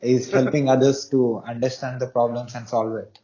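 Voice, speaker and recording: A man's voice: medium pitch, little pitch movement, mellow. Man asking questions on dull lo-fi audio. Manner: hesitant, slow questions with fillers. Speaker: interview host.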